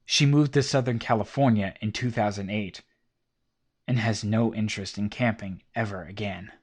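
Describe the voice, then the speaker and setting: A man giving measured, documentary-style scripted narration between witness accounts. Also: on the low side, fairly even intonation.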